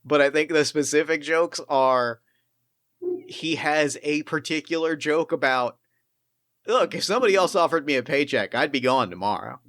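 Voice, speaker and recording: A man's voice: on the high side, normal speed, a bit bright, no echo, clean studio sound. A man running a tabletop actual play as game master, musing about his character in a rambling, thoughtful way with many restarts.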